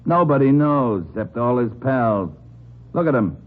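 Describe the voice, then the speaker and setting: A man's voice: medium pitch, animated, a little slow, close to an everyday voice. An actor playing a sneering, bullying gangster in a 1950s radio crime drama, with slangy tough-guy talk. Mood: sly, sneering. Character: menacing, sneering male gangster, bullying and impatient. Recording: muffled, noisy old 1950s radio recording.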